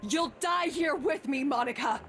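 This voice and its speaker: A desperate, remorseful betrayer. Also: female voice, high voice, moderate pace, a bit bright, soft background music. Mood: vengeful, resigned. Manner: dramatic, defiant, anguished. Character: remorseful, desperate female chef turned vengeful betrayer. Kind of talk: video game voice acting.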